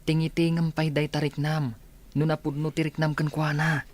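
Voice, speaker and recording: A man's voice: a little high, little pitch movement, normal speed, natural voice. A man playing a frank, cautioning village friend in radio drama, with an Ilocano accent. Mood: frank, advising.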